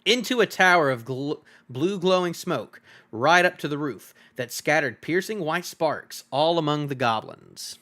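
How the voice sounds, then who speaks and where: Animated, bright-voiced man reading a story. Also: a little high, a little slow. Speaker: streamer reading a novel aloud.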